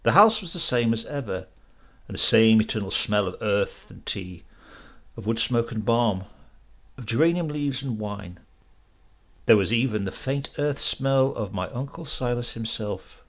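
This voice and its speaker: A man reading a literary short story as an audiobook narrator, in a measured, expressive way. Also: on the low side.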